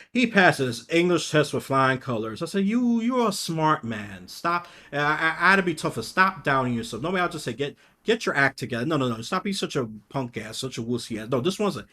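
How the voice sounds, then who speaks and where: A man's voice: medium pitch, a bit bright. A man talking casually as a podcast guest, blunt and outspoken, rambling with frequent restarts. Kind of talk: casual conversation.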